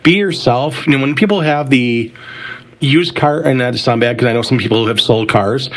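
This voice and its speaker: Male panelist, average pitch, sincere and somewhat halting in casual discussion. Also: a bit bright. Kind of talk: casual opinion in a panel chat.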